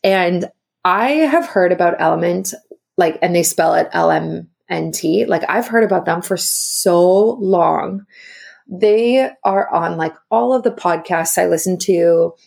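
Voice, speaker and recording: Young female podcaster, upbeat rambling chat, heavy vocal fry. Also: lowish voice, unhurried, darkish tone. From South Africa. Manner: chatty, upbeat, heavy use of "like". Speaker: podcast host. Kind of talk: casual solo podcast chat.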